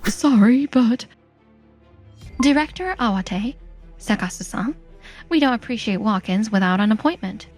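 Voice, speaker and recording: An actress playing a cool, firm, dismissive secretary in a manga dub. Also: female voice, on the high side, expressive, unhurried, pauses often, a little mellow, soft background music.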